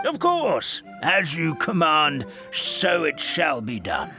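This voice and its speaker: A man's voice: on the high side, wildly swooping pitch, takes it slowly, heavily acted. An actor playing a loyal, formal old servant who addresses his mistress with courtly deference. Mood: deferential. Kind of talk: video game cutscene dubbing. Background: game music.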